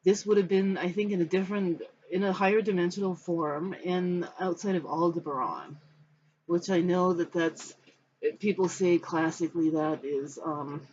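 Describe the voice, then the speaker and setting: An American in their fifties from Los Angeles, giving a personal talk to camera in a reflective, halting, rambling manner. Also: mid-range voice, fairly even intonation, a little mellow. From Los Angeles, United States. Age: 50s. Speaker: spiritual storyteller. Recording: dull, muffled sound with background noise.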